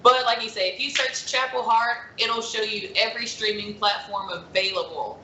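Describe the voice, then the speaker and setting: Brief: friendly woman from Mississippi, bright and slightly high, roomy echo. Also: fairly even intonation, normal speed, slight background noise. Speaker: country singer, guest. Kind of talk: casual interview.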